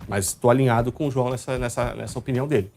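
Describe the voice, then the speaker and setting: Male live football show host, Brazilian accent from São Paulo state, rapid casual delivery, music underneath. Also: medium pitch, steady tone, a little mellow. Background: background music.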